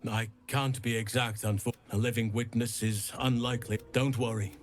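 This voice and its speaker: A man playing a solemn, earnest druid guardian in a video game, speaking in a measured way. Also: mid-range voice, fairly even intonation, faint music behind, natural voice. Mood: earnest resolve. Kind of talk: video game character dialogue.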